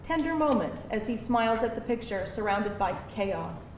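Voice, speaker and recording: High-voiced theatrical woman reading stage directions. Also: normal speed. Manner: theatrical, expressive. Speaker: screenplay narrator at a live table read. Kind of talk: dramatic scripted narration of stage directions. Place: live event room with an audience. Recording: echoey live room, distant mic, music, audience. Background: music and audience noise.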